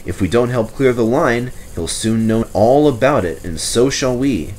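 A man's voice: mid-range voice, animated, moderate pace, darkish tone, a little hiss or hum, close to an everyday voice. A man voicing a practical male engine driver in a storybook, matter-of-fact and persuasive. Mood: reasoning.

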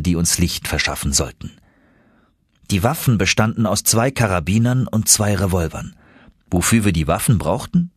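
Low, husky male audiobook narrator. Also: no echo, studio quality. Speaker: audiobook reader. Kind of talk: scripted first-person audiobook narration of an adventure novel.

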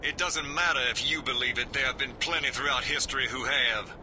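An actor playing a grave, guarded soldier-priest in a video game, explaining in a solemn, earnest way. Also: male voice, medium pitch, fairly quick, sharp tone, slight background noise. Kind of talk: video game cutscene acting.

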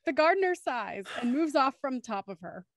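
A woman's voice: high-pitched, very animated, moderate pace, no room sound, clean studio sound. A young woman reading scripted narration for an audio drama.

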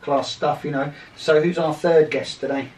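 A man's voice: on the high side, steady tone, moderate pace, recorded from a distance, slight background noise. A middle-aged man with a southern English accent, casually chatting as he interviews guests. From High Wycombe, England. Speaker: interviewer, host. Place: small room, indoors. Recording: echoey room, off-mic, loud music behind.

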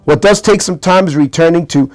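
A middle-aged man with a fairly high voice explains a procedure in a measured, patient way, often pausing with fillers and restarting words. He is seated at a desk in a small clinic office. Soft music plays faintly underneath. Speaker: orthopedic hand surgeon.

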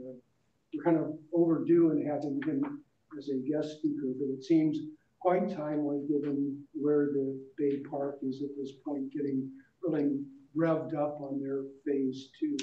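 A man chairing a meeting, introducing a guest speaker in a halting way with many fillers. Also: on the high side. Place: large carpeted meeting room. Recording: roomy, distant, dull meeting recording.

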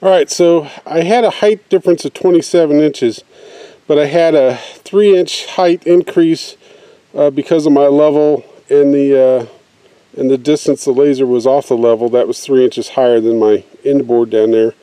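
A man's voice: mid-range voice, fairly even intonation, normal speed, mellow, no echo. A middle-aged man casually explaining his backyard greenhouse build outdoors, rambling and plain-spoken with lots of fillers, recorded on a handheld camera. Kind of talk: casual how-to vlog narration.

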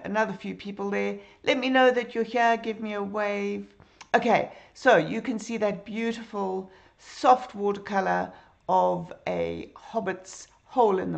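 A middle-aged woman teaches a drawing lesson over a live stream from her home. Her voice is hoarse and gravelly, with a lot of fry. She talks slowly and chattily, fills her pauses with ums and greets her viewers as they arrive. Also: medium pitch. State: hoarse, croaky. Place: home room with bookshelves. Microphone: computer webcam.

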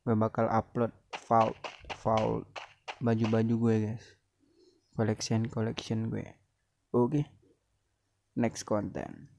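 Hoarse young man with slangy gaming chatter. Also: fairly low pitch, level tone, slow pace, pauses often, a little mellow, slight background noise. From Indonesia. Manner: slangy, chatty, keeps addressing viewers. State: hoarse from a cough. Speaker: gaming YouTuber. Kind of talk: casual game commentary.

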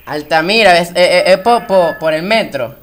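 A man's voice: sits high, moderate pace, fairly bright tone. A man with a Venezuelan accent making a prank phone call in casual talk, hesitant and repeating his words. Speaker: prank caller.

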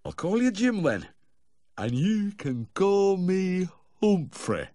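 A man's voice: sits high, sing-song, mellow, no echo, studio quality, voice pushed up, heavily acted. A man voicing a tearful, drunken little Yorkshire dog lover, slurring and pleading in a sentimental audiobook character voice. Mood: drunkenly affectionate. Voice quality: slurred, whining. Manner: pleading, sentimental, drawn-out wailing.

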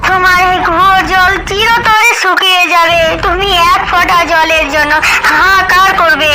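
A woman voicing a grieving, accusing female bird in an animated fairy tale, dramatic and tearful. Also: extremely high pitch, normal speed, heavy background noise. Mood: tearful, vengeful. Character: grieving female tailorbird cursing her enemy.

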